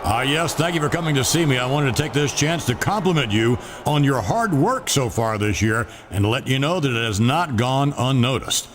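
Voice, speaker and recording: Man acting a commanding, animated company boss. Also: medium pitch, moderate pace, noisy background, lightly acted. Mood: courteous. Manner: formal, authoritative. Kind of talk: scripted game dialogue.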